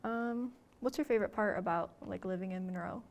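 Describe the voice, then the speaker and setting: A woman's voice: mid-range voice, fairly quick, vocal fry, a little mellow, a little hiss or hum. A young woman student journalist from Michigan, chatting casually and hesitantly, with lots of fillers. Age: young adult. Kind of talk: casual interview chat.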